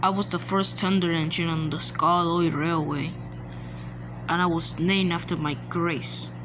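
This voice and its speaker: A woman's voice: lowish voice, flat delivery, unhurried, close to an everyday voice. A woman voicing a stern, dignified old male steam engine for a cartoon, in a lecturing manner. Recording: noisy home recording.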